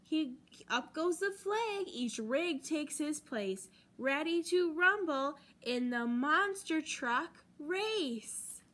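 A young American woman reading a picture book aloud to children as a storytime host, with deliberate, dramatic emphasis on key words. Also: high-pitched, lively intonation, a bit bright. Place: library children's room, indoors.

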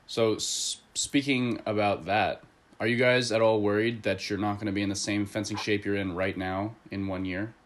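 A man's voice: on the low side, level tone, moderate pace. A young man asking questions and reacting casually as an interviewer. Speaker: interviewer, host. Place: indoor room, video call.

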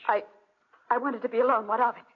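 Tormented proud young blind woman, husky, defensive, muffled old radio drama recording. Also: a little high, fairly even intonation, quick pace. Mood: wary, proud. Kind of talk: 1940s radio acting.

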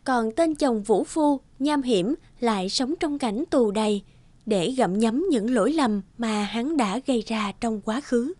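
A woman's voice: on the high side, animated, measured pace, darkish tone, no echo. A woman reading scripted fairy tale narration as a storyteller.

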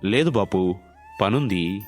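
A man voicing a self-interested, dismissive city-dwelling elder son in a story, curt and low-key. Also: lively intonation, slow pace. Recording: music under the voice.

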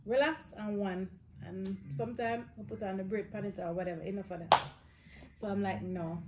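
A woman's voice: mid-range voice, darkish tone, a little hiss or hum, poor audio. A woman in her thirties with a Jamaican accent, chatting casually to camera in Patois-inflected phrasing as a lifestyle vlogger.

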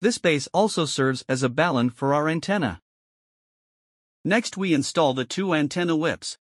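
A man's voice: on the high side, moderate pace, dry recording. Dry, scripted male demo narrator. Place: close, dry indoor voice-over recording.

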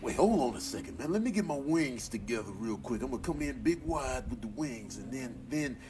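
A man's voice: medium pitch, lively intonation, brisk, far from the mic, noisy background, close to an everyday voice. A man voicing a hesitant bird in a comedy voiceover, rambling and stalling as he interrupts himself. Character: hesitant male bird stalling before first flight.

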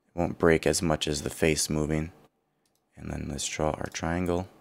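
Deep, gravelly male tutor with a worn-out voice, calm self-correcting software walkthrough. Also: normal speed. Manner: thinking aloud, self-correcting. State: partly lost voice, low and rough. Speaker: CAD tutorial instructor. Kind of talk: screen-recorded software walkthrough.

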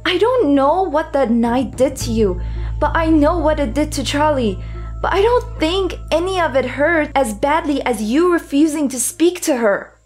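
A woman's voice: high voice, lively intonation, moderate pace, a little mellow, soft background music. A young woman acting in a comic dub as a protective, outspoken friend, emphatic and insistent. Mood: upset, pleading. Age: young adult.

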